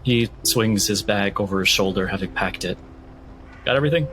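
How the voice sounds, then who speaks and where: A man narrating a tabletop roleplaying game as game master, in a measured, descriptive storytelling manner. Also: low voice, steady tone, a bit bright, low-quality recording. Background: music.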